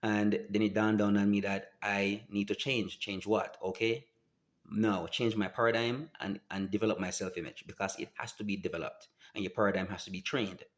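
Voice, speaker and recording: A man in his forties with a very deep, low voice speaks straight to camera about his own life. He talks earnestly and haltingly, stopping and restarting his sentences often. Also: steady tone. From Jamaica. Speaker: vlogger. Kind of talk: personal testimony to camera.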